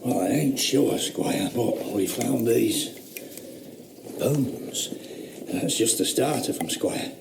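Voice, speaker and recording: A halting rustic laborer. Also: male voice, medium pitch, creaky, roomy sound, background noise. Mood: uneasy. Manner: halting, deferential, rural speech. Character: rustic male laborer, deferential to the squire. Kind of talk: radio drama acting.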